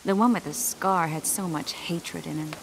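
Low-voiced, wistful young sorceress. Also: female voice, moderate pace, vocal fry. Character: tender, thoughtful young sorceress. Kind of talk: video game dramatic dialogue. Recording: steady rain and ambient noise behind. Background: rain.

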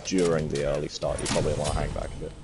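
A man calmly explaining game tactics to a newer player, hesitating with fillers as he goes. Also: very deep voice, vocal fry. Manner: patient explaining, halting with fillers. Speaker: gamer guiding a new player. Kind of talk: casual gaming chat. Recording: game music behind voice.

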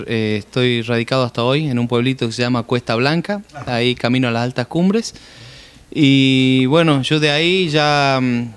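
A man, a folk musician chatting relaxed and playful in a casual interview. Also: medium pitch, clear, resonant tone. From Jujuy, Argentina. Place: small room, indoors, seated on couch. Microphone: handheld mic held close.

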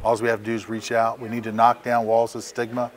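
Middle-aged man, halting and measured. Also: medium pitch, monotone, a little hiss or hum. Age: 50s. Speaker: police captain, crisis team coordinator. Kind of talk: interview remarks.

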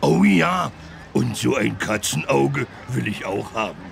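Gravelly, excitable cartoon elephant. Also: male voice, medium pitch, measured pace, slight background noise. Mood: excited, eager. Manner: exuberant, childlike. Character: big good-natured talking cartoon elephant, childlike and cheerful. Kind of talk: children's educational game acting.

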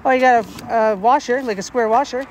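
A man's voice: extremely high pitch, fairly quick. A man in his forties hosting a casual outdoor vlog, talking plainly and hesitantly into a handheld camera. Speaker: vlog host, magnet fishing father. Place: outdoors, bridge by a road and later outside at home. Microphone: handheld camera or phone. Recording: noisy outdoor traffic ambience.

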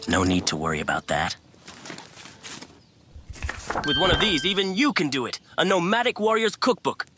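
Man playing a courteous, shrewd wandering merchant, animated. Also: high-pitched, fast talker. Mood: helpful salesmanship. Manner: polite, salesmanlike. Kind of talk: manga comic dub acting. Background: music under the dialogue.

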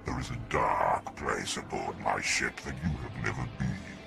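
An actor playing a pompous, decadent alien emperor in a video game, with a gravelly, booming, theatrical voice that slides down to whispers as he savors his words. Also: animated, a little slow, over music, full character voice. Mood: grandiose. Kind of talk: video game cutscene dialogue.

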